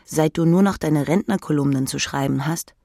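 Husky, creaky sardonic thirtysomething. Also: female voice, low voice, normal speed, warm tone, no echo, clean studio sound. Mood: scolding. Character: jaded, sarcastic single woman columnist in her mid-thirties. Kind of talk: literary second-person monologue.

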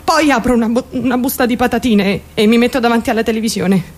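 A woman's voice: on the high side, steady tone, fast, natural voice. An actress playing a troubled teenage girl who recounts her life in an intense, confiding dramatic radio monologue. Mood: naive confiding. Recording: radio drama over background music. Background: music.

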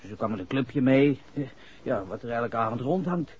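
A man's voice: medium pitch, lively intonation, moderate pace, slightly rough. An actor playing a nervous, shifty young man under police suspicion, stammering slangy lines in a 1960s radio drama. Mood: defensive, uneasy. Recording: muffled vintage radio recording.

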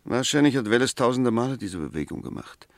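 A man's voice: medium pitch, animated, fast, dry recording, clean studio sound, natural voice. A man acting an eloquent, sardonic defence barrister in a 1960s German radio courtroom play, with emphatic, rhetorical phrasing. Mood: mocking.

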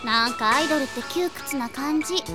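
A woman's voice: high-pitched, a little fast, music in the background, lightly acted. A woman voicing a candid, stubborn teenage idol trainee in an anime-like audio drama, cutesy but blunt. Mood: pouty, playful. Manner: cutesy, blunt, childlike verbal tic.

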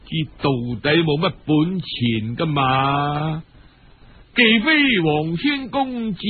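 A man puts on a flattering, scheming Cantonese friend in an audio story. He cajoles colloquially in a high, husky voice on a dull, lo-fi recording. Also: full character voice.

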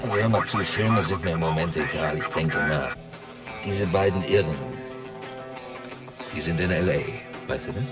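Man acting a very deep, gruff cop. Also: moderate pace, long pauses, natural voice. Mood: irritated. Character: irritable, brooding male police investigator, tough and certain. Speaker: radio drama actor. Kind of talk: naturalistic German radio drama dialogue. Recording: loud music behind the voice. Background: loud music.

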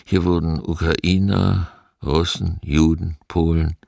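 Very deep, solemn male witness. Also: little pitch movement, darkish tone, no room sound, clean studio sound, exaggerated voice. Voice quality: very deep, gravelly. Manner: slow, solemn. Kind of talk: scripted radio documentary narration.

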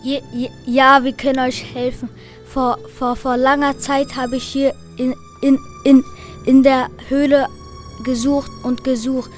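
A woman's voice: high-pitched, little pitch movement, unhurried, faint music behind. Girl playing a heavily stuttering cursed forest figure. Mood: halting, solemn. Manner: heavy stutter on first syllables. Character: stuttering cursed forest spirit, slow and solemn helper. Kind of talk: amateur school radio play.

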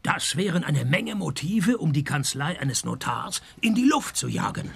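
A man playing a laconic, deadpan private detective who also narrates a radio play, in a husky voice. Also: fairly high pitch, moderate pace, full character voice. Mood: dry, probing. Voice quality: husky, gravelly. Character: male private detective, dry, unimpressed, probing, also the narrator. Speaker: lead actor and narrator. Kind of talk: scripted radio detective comedy.

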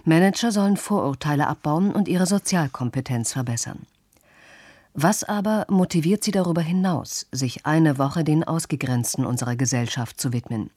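A female radio announcer reads in a formal, measured way. Her voice is low, dark and husky, very dry and close, with faint music. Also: no room sound. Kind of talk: scripted announcement.

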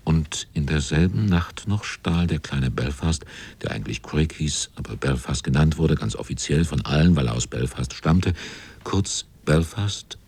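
An actor playing a sailor who narrates a radio drama in a grave, measured, brooding literary manner. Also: male voice, very deep voice, lively intonation, darkish tone, husky, heavily acted. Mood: confiding. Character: brooding sailor narrator looking back on the crew.

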